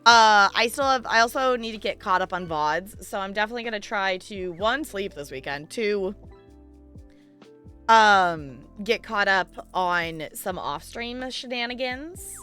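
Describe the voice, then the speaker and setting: A woman's voice: medium pitch, animated, unhurried, sharp tone, smooth, soft background music. A woman chatting casually on a livestream in a playful, giggly, rambling way. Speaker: live game streamer chatting with viewers.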